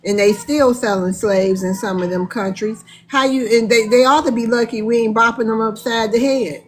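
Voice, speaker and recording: A woman's voice: a little high, normal speed. A woman running an online debate panel, speaking in a brusque, confrontational way.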